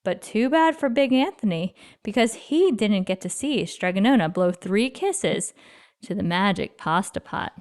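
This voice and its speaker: A woman reading a children's story aloud with expressive, dramatic emphasis. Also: medium pitch, a little slow. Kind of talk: expressive read-aloud narration.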